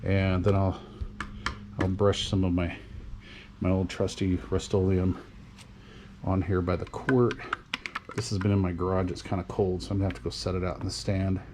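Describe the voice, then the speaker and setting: A middle-aged man casually talking through a DIY job to camera in a folksy, unhurried, step-by-step way. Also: deep voice, little pitch movement, background noise. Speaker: DIY radio hobbyist and channel host. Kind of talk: casual workshop explanation to camera.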